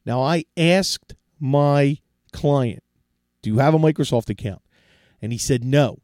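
A man's voice: mid-range voice, measured pace, darkish tone, dry recording, studio quality. A middle-aged man hosting a tech podcast, talking casually and giving advice with frequent restarts, his voice sore and fading from a cold. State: sore throat, losing his voice. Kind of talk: casual solo tech talk.

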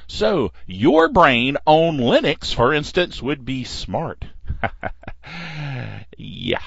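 Man, wry podcast host, bouncy lively delivery, muffled lo-fi sound. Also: mid-range voice, drawn-out words, creaky, husky, no room sound. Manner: lively, wry, chatty, reads listener email aloud. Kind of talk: casual podcast monologue. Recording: dull lo-fi podcast audio.

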